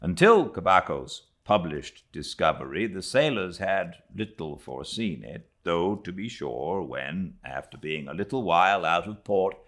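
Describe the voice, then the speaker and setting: A British man narrating a classic novel as an audiobook, in a theatrical, deliberately phrased style. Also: deep voice, animated, fairly bright tone. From Britain. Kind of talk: dramatic literary narration.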